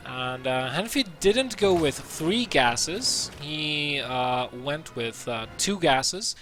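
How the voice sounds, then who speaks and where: A man from Slovakia casts a strategy game match in relaxed, chatty talk. He often stops and restarts his sentences with fillers, over a soft bed of faint music. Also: on the high side, slow. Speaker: esports caster. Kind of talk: casual game commentary.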